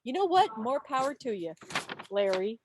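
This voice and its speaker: A woman hosting a club quiz on a video call, reading questions and answers in an expressive, playful way with casual asides. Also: fairly high pitch, normal speed, noisy background. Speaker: quiz host at a club meeting. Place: online video meeting. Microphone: video call microphone.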